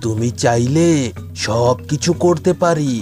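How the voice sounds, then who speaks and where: Rich, swaggering thug wooer for a cartoon, acted by a man: medium pitch, ingratiating, over music. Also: unhurried, darkish tone, lightly acted. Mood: wheedling. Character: boastful, wheedling rich thug suitor in a cartoon. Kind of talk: cartoon dialogue dubbing.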